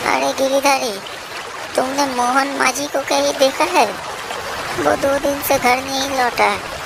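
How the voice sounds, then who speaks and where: A woman acts an inquiring villager from a horror story. The voice is high, with a familiar, direct manner. Loud watery noise surrounds it. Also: steady tone, a little slow, creaky. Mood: concerned. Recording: very noisy, loud water ambience.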